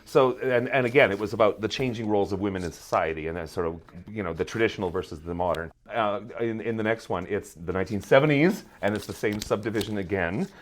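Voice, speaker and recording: A man's voice: lowish voice, quick pace, few pauses, a little hiss or hum. A middle-aged man chatting casually as a guest, rambling and joking at his own expense. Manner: rambling, self-mocking humour. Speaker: podcast guest, theatre maker. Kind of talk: casual chat.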